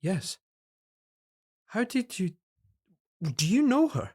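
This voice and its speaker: A man's voice: high voice, very animated, takes it slowly, darkish tone, breathy edge, full character voice. An actor in an audio drama playing a guarded, earnest young man who speaks politely and hesitantly to his superior. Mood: surprised. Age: young adult.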